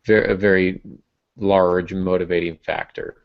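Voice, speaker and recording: Low-voiced man, casually explaining. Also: flat delivery, moderate pace, gravelly. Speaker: podcast host and writing teacher. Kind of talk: casual explanatory podcast talk. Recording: dull, muffled lo-fi audio.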